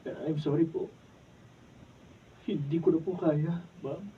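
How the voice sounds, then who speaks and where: A young, lovesick Filipino student, earnest and pleading in radio drama style. His voice is slightly high, and the recording is muffled with faint noise. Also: steady tone, takes it slowly. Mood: apologetic, pleading. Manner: pleading, sentimental. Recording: muffled, distant mic, noisy room.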